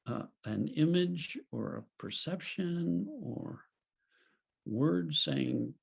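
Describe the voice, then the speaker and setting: A man leading a group video call as a spiritual teacher, speaking in a gentle, unhurried way and laughing softly now and then. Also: a little high, vocal fry, breathy edge. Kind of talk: reflective teaching talk.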